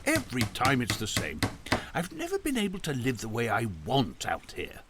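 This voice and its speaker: An actor playing a fretful older uncle in an audio drama, complaining and animated, with a little stammer and faint recording noise. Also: male voice, medium pitch, moderate pace. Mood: peevish. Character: fretful, grumbling older uncle, weary of country life. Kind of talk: naturalistic audio drama acting.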